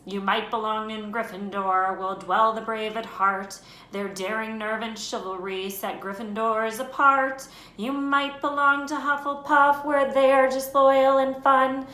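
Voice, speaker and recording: An old, patched singing hat, as a woman puts it on, belting rhyming verses high and loud. The tone is bright and sharp. The room is roomy, with a faint hiss behind. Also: measured pace. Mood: sing-song. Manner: sings in rhyme, loud and lively.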